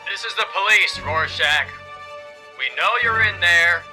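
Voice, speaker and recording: A man playing a commanding police officer in a comic drama, calling out demands in a deliberate, authoritative way. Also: high-pitched, over music. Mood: stern. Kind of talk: dramatized comic adaptation acting.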